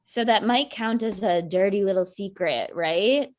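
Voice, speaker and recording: A woman's voice: mid-range voice, measured pace, close to an everyday voice. An actress playing a sardonic, wounded ex-girlfriend in her thirties, with dry, pointed delivery in a comedic table read. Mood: accusing. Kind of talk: naturalistic comedic dialogue reading.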